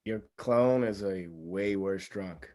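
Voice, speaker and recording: A man's voice: low voice, takes it slowly, warm tone. A man playing a mild, sensible groom with a hesitant, understated manner in a comedy table read. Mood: mildly reproving. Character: mild, sensible groom, easily talked round.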